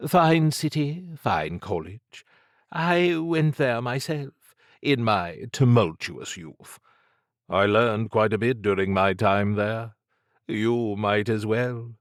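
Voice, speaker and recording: A man voicing an urbane, condescending, androgynous occult agent for an audiobook, in a languid purr with drawn-out phrasing. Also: lowish voice, lively intonation, no echo, clean studio sound, pitched above the performer's own voice. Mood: smug, advising. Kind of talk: audiobook character acting.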